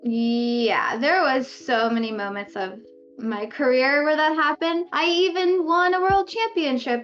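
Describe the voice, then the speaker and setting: High-voiced woman, dull lo-fi sound. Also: fairly bright tone. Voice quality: high-pitched voice. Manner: laughs often while telling stories. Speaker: pro gamer guest. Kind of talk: casual podcast interview. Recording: dull lo-fi audio.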